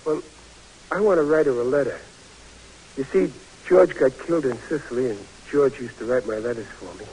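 A man's voice: mid-range voice, a little fast, warm tone, a little hiss or hum, natural voice, rough recording. A man playing a bashful, stammering big private. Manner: stammering, folksy and shy. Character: big good-natured bashful young male army private. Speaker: soldier. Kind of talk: 1940s radio acting.

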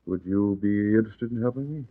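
A man's voice: lowish voice, a little fast, dry recording, lightly acted. A man playing a crooked, scheming American businessman in a 1940s radio drama, brusque and slangy, blustering yet anxious. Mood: furtive. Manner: brusque, slangy, tough-talking. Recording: dry, tinny old radio recording.

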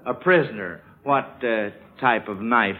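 A man's voice: medium pitch, measured pace. A man hosting a 1940s-style radio quiz for children in a folksy, chuckling manner, often repeating answers back. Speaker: quiz show host. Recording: dull, band-limited old radio recording.

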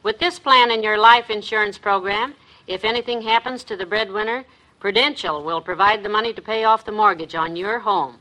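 A woman's voice: medium pitch, a little fast, pushed and bright, low-quality recording. A woman reading a scripted 1950s radio advertisement as a commercial spokeswoman.

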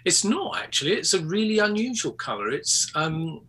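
A man's voice: high-pitched, very animated, moderate pace, bright, forceful tone, slight background noise. A man in his sixties from England, a photographer chatting as a guest in an interview, hesitant with many ums and restarts, over a video call. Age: 60s. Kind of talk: casual interview chat.

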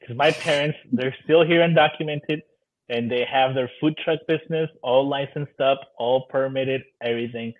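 A man's voice: mid-range voice, normal speed. A man in his thirties speaking casually as a podcast guest, over dull, phone-like audio. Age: 30s. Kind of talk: casual conversation, giving encouraging advice.

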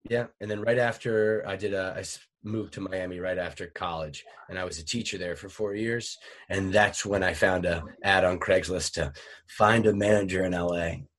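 A man's voice: deep voice, steady tone, normal speed, a bit bright. A young man from Virginia, a stand-up comic, telling self-mocking stories in a casual interview and laughing often. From Richmond, Virginia. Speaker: stand-up comedian guest.

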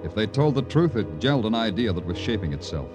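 An American actor playing a dry, wry police lieutenant in a 1950s radio crime drama, with clipped, sardonic, deadpan delivery. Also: male voice, fairly low pitch, quick pace. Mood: wry, measured. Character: dry, wry male New York police lieutenant narrator. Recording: vintage radio recording with music behind.

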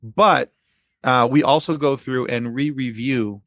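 A man's voice: mid-range voice, unhurried, fairly bright tone. A man talking casually as a guest on a podcast, earnest and effusive.

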